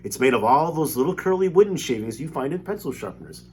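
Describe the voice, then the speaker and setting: A man's voice: medium pitch, expressive, fast talker, slight background noise, pitched above the performer's own voice, lightly acted. A man reading aloud while voicing an eccentric, fussy candy maker who exclaims and frets dramatically. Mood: indignant.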